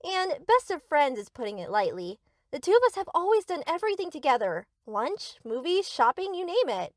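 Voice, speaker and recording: Woman acting a high, animated, doting yandere girl. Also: normal speed, no echo, studio quality. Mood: gushing. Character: sweet, eager, obsessive yandere best friend, young. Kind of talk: anime-style ASMR audio roleplay.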